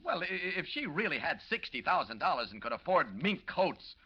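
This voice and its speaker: Steady, earnest reporter in vintage radio drama. Fast, probing, reassuring, slightly rough voice, dull old recording. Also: male voice, on the high side, exaggerated voice. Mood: earnest, challenging. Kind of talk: 1940s radio acting.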